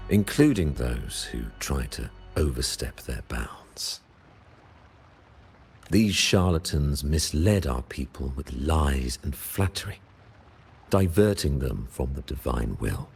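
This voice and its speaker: Game role, solemn high cleric and ruler. Bottomless bass, slow formal oratory, pompous and stern. Music behind. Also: male voice, vocal fry, a little mellow, exaggerated voice. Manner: solemn, measured, ceremonial, pompous. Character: solemn, stern male religious ruler of a holy city.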